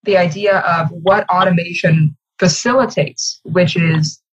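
A woman's voice: lowish voice, fairly even intonation, unhurried, husky, muffled recording. A woman giving articulate, emphatic interview answers in polished corporate phrasing over a video call. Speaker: communications executive, interview guest.

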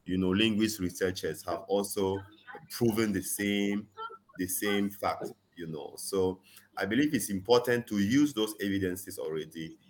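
A man talks in an earnest, conversational way. His voice sits very low, and he often drops in "you know" as he builds his case. He leans hard on his main points. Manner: earnest, frequent "you know", rising emphasis on key points. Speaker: guest. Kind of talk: conversational interview, advocacy.

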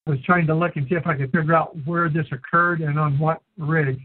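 A man's voice: a little high, steady tone, fairly quick. A man co-hosting a casual diving talk show, blunt and incredulous, in a folksy way of speaking. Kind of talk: casual opinionated discussion. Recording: dry, tinny phone-like sound.

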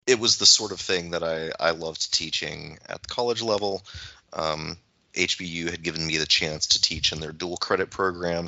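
A man in casual conversation as a podcast co-host, talking haltingly as he thinks aloud. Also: sits low, gravelly, sharp tone, dry recording, dull audio.

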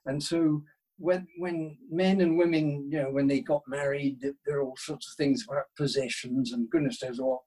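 A man with a husky voice chatting casually in an interview about his work, often interrupting himself with asides. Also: a little high, fairly even intonation, mellow, low-quality recording. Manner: halting, rambling with self-interruptions and asides. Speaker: guest, novelist.

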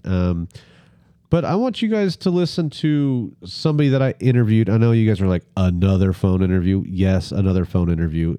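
A man's voice: low-pitched, mellow, no room sound. A man hosting a casual beer-tasting podcast, easygoing and prompting his guests with questions. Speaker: podcast host.

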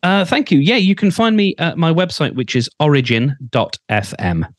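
A man's voice: fairly high pitch, very animated, normal speed, no echo, studio quality. A man, a podcast mentor, explaining thoughtfully with many fillers. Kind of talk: advice in a podcast conversation.

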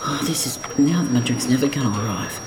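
An anxious, fretful man played by a male actor talks to himself in an audio drama, halting and stammering as he repeats his worries. His mid-pitched voice sits in an echoing space with noise around it. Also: close to an everyday voice. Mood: anxious, distressed. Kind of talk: first-person inner monologue in audio drama. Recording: noisy, echoing room sound.

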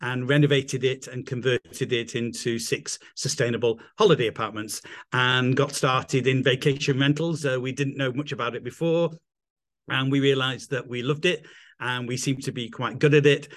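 A man's voice: mid-range voice, fairly even intonation, normal speed, a bit bright. Middle-aged English man, upbeat interview guest, chatty and full of restarts, calling in remotely. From England. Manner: enthusiastic, rambling with restarts. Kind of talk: casual interview chat.

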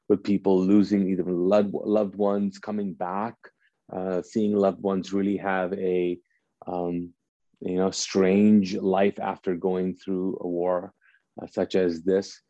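Man in his 40s from kuwait thinking out loud, pretty monotone, dry close sound. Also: sits low, dry recording, lo-fi sound. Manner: halting, thoughtful, searching for words. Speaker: speaker addressing an audience. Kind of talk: personal reflective talk.

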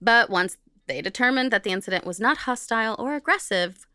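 A woman's voice: fairly high pitch, animated, fairly quick, pushed and bright, clear, resonant tone, no echo. A woman co-hosting a podcast, reading from notes in an informal, jokey way and carefully repeating technical terms. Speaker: podcast co-host.

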